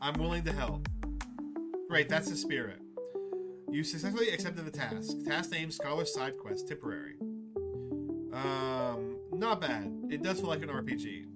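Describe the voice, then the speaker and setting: A gaming YouTuber reading visual novel dialogue aloud in an expressive way, reacting along and sometimes stumbling over long words. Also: medium pitch, moderate pace, pauses often, loud music behind the voice.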